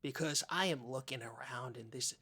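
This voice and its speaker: A man's voice: medium pitch, normal speed, pushed and bright, slightly rough. A man playing an earnest, easily rattled oddball co-host on a comedy podcast, rambling and stammering as he talks. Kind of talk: improvised comedy chat in character.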